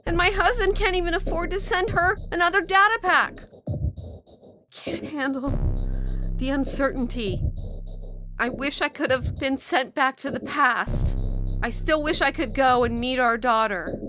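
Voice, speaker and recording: A woman's voice: high voice, unhurried, over music. A woman acting an anguished, worried mother in an audio science fiction story. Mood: bitter, upset. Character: anguished, worried mother of a time-separated daughter. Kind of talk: audio fiction dramatic reading.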